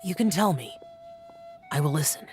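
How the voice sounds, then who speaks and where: A man voicing a reserved, gentle otherworldly guardian in a video game, quiet, solemn and measured. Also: a little high, animated, soft background music, close to an everyday voice.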